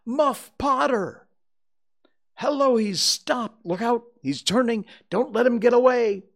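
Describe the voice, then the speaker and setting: Guy doing worked up townsfolk yelling, way high voice, urgent, audiobook style. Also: slow pace, vocal fry, exaggerated voice. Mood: excited alarm. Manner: shouting, urgent, exclaiming. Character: excited, alarmed villagers shouting in a crowd. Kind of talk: audiobook character acting.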